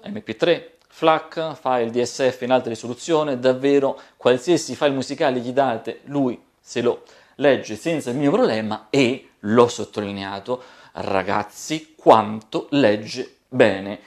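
A man in his forties hosting a casual product review, playful and expressive, drawing out words for emphasis. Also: medium pitch. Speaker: tech reviewer, video host. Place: small home studio room with desk and monitors.

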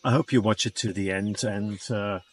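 A man's voice: lowish voice, steady tone, moderate pace. A man in his fifties talking casually to the camera outdoors as a van life vlogger, in a soothing, reflective, chatty way. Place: outdoors by a parked van and a beach shelter.

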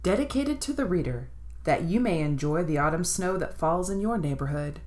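A woman narrating a children's picture book in an expressive, rhythmic read-aloud style. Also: lowish voice, normal speed. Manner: rhythmic rhyming delivery, expressive. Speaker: storybook narrator.

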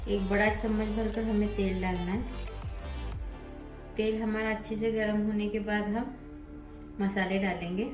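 A woman hosting a cooking channel, explaining each recipe step in a plain, steady instructive voice-over. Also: medium pitch, measured pace, pauses often, roomy sound, over music.

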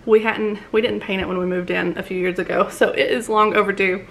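A woman's voice: medium pitch, steady tone, fairly quick, gravelly, fairly bright tone, a little hiss or hum, rough recording. A woman, chatting casually through a grocery haul at home, rambling and laughing often. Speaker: family vlogger. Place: home dining room, indoors.

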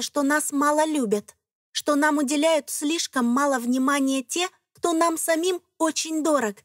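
A woman's voice: high-pitched, no room sound, clean studio sound. A woman reading scripted narration for an educational children's cartoon, explaining things in simple phrases addressed to young listeners.

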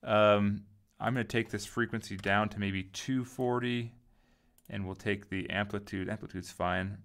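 A man giving a casual software tutorial, informally thinking aloud as he goes. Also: lowish voice, steady tone, measured pace, vocal fry. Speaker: tutorial host.

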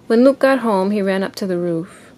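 A woman reading a picture book aloud to a child at home and asking instructive questions in a deliberate way. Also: medium pitch, noisy background. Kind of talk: expressive read-aloud and gentle questioning.

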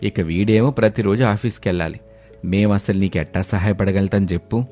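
Old cartoon father-in-law, kind and fatherly, low and soft, played by a man. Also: fairly even intonation, fairly quick, faint music behind, close to an everyday voice. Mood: kindly. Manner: gentle, fatherly. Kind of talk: animated storybook voice acting.

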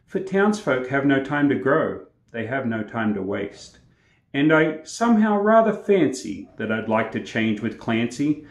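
Australian male storyteller, sixties, mid-pitched voice, presenting verse and chat in an echoey room. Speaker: host and storyteller. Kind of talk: poetry recitation and casual talk to camera. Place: small indoor room with plain walls, echoey.

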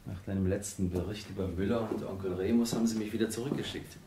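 An actor playing a friendly, businesslike secret-service handler in a radio play. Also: male voice, fairly low pitch, fairly even intonation, quick pace, background noise, natural voice. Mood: cordial, businesslike.

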